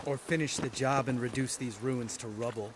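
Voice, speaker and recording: A man's voice: medium pitch, steady tone, moderate pace, very noisy, close to an everyday voice. An actor playing a sardonic, grudging male warrior in a fantasy game, with a dry, offhand, sarcastic delivery. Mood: wry, offhand. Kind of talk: video game acting.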